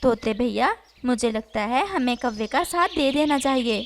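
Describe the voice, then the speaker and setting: A woman voicing a kind, agreeable little female sparrow in a children's cartoon, with a gentle, reasoning manner. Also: fairly high pitch, animated, normal speed, slight background noise.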